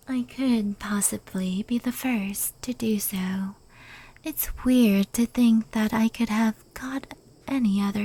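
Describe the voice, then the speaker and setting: A woman playing a proud, affectionate fox spirit in an intimate ASMR roleplay, speaking earnestly in a soft, breathy whisper. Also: mid-range voice. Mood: musing, proud.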